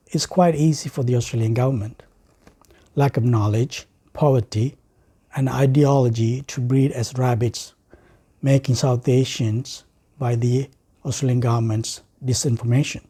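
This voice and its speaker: Dark, husky Sri Lankan man giving a speech. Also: mid-range voice. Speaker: writer and speaker. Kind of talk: prepared speech.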